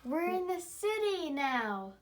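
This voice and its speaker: A woman's voice: very high voice, big pitch swings, slow, voice pushed up, full character voice. A woman voicing a bold, defiant young female mouse explorer in a children's story. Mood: eager, insistent.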